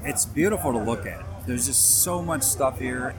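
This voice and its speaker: A man's voice: mid-range voice, animated, moderate pace, noisy background. A man co-hosting a podcast, joking around in casual, goofy banter. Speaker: podcast co-host. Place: busy indoor expo hall.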